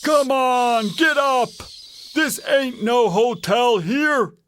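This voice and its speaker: A storyteller voicing a gruff, bossy, grumbling father bear in a children's storybook tale. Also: medium pitch, extremely slow, fairly bright tone, slight background noise, voice pushed up. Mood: blustering.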